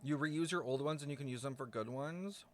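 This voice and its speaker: A man's voice: on the high side, fairly quick. A man streaming a video game, giving casual live commentary as he plays. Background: game music.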